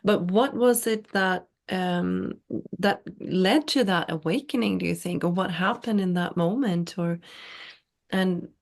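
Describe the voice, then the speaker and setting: A woman's voice: on the low side, measured pace. A woman hosting a casual interview, affirming her guest and searching for words as she asks questions. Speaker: podcast host. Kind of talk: casual interview, brief listener responses.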